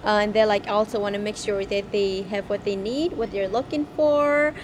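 A woman's voice: mid-range voice, fairly even intonation, moderate pace. Hesitant young woman in a noisy shop. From Thailand. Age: 20s. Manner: hesitant, many fillers and restarts. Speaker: grocery store owner. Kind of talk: casual interview answers. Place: inside her grocery store. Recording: on-location with background noise.

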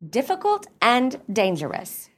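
A woman's voice: fairly high pitch, huge pitch swings, unhurried, heavily acted. Woman acting prim, peevish bookish clubwoman. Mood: flustered. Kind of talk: radio play acting.

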